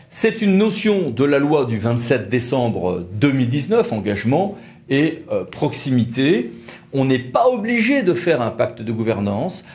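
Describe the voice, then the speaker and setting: A man works as presenter of a professional news review, speaking at a middle pitch. He explains in a didactic, emphatic manner and drops in conversational asides. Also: a little mellow. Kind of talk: brisk explanatory commentary with interview questions.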